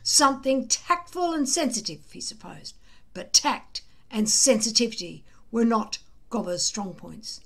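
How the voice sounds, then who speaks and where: A woman reads a children's adventure aloud in a higher-than-average voice. Her delivery is slow and deliberate, with expressive, dramatic emphasis on the words. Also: gravelly. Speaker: storybook reader.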